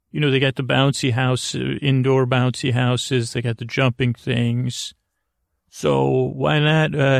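A man hosting a sleep podcast with a halting, meandering, whimsical monologue in which he often repeats himself. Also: medium pitch, creaky, no echo, studio quality. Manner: whimsical, tongue-in-cheek. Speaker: podcast host.

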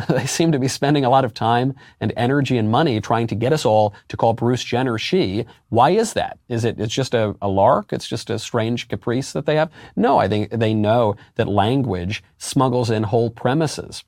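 A man with a deep voice, a guest in a political interview, arguing emphatically with rhetorical questions and sudden jumps in pitch on key words. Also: expressive, moderate pace, hardly any pauses, darkish tone, no echo, studio quality. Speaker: interview guest, conservative commentator.

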